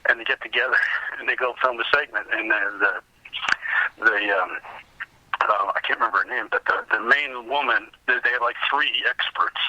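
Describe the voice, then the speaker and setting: A man's voice: medium pitch, normal speed, sharp tone, dry recording, muffled recording. A middle-aged man telling rambling anecdotes in a casual interview as a guest. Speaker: podcast guest, comics historian.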